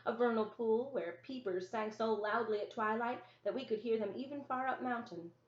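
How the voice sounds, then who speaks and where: A woman's voice: a little high, moderate pace. A woman reading a children's novel aloud as scripted first-person narration. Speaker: story reader. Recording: roomy, echoey, dull lo-fi sound.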